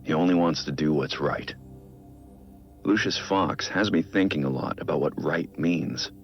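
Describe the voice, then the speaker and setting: Dull game audio with music behind it. a brooding but earnest masked vigilante recording a private log, extremely low and full of gravel. He is measured and reflective, as if confiding in a journal. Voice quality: gravelly, very deep. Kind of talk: scripted audio-log monologue from a video game.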